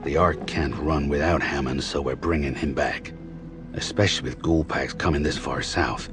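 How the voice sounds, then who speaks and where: Very deep, gruff mutant boar. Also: male voice, fairly even intonation, normal speed. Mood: grim, gruff. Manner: terse, blunt. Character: gruff mutant boar man, stoic hardened scavenger. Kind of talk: video game character dialogue. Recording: voice under game music.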